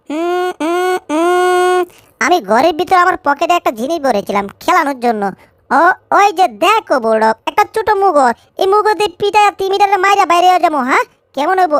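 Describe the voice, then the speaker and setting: A woman's voice: very high voice, lively intonation, normal speed, fairly bright tone, no echo. A woman voicing a timid, naive cartoon cat in a fan dub, pleading yet eager.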